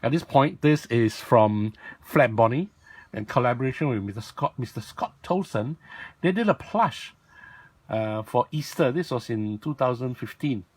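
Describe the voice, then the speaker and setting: A man with a raspy voice, talking casually and rambling as he shows off a toy in a video. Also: medium pitch, animated, moderate pace, no room sound. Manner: rambling, self-correcting, lots of fillers. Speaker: toy blogger and vlog host.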